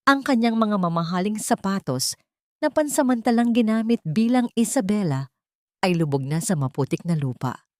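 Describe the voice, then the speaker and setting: A woman's voice: medium pitch, expressive, moderate pace, no echo, studio quality. A woman with a Filipino accent reading a scripted dramatic love story in a solemn, melodramatic manner.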